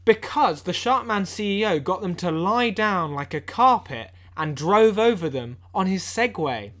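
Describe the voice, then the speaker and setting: A man playing an eager amateur investigator on a comedy podcast, sharing grim revelations in a conspiratorial, deadpan way. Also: high voice, unhurried. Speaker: podcast co-host. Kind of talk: mock-serious comedy podcast banter.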